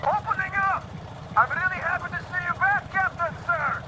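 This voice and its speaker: An actor playing an eager, deferential male gate sentry in a video game, calling out excitedly. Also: very high voice, normal speed. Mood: overjoyed, eager. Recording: clear boat engine noise.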